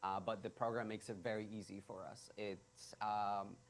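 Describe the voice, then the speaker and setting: A young man from Ecuador, a senior in college, sits in for a morning show interview. He talks in an easygoing, upbeat way, mid-pitched and relaxed. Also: normal speed. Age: young adult. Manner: easygoing, upbeat, laughs easily. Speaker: college student. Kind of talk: TV interview guest.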